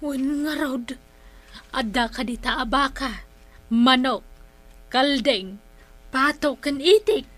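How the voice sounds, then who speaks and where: A woman's voice: sits high, slow pace, long pauses, a bit bright, heavily acted. A woman playing an agreeable, gossipy village friend in a comedy drama, with an Ilocano accent. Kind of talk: comedic barangay radio drama acting.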